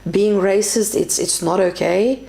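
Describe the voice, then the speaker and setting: A woman's voice: medium pitch, a little slow, gravelly, warm tone. A woman from South Africa with an Afrikaans accent, reflecting as an interview guest, often restarting and adding "you know". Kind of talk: conversational interview. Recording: roomy echo.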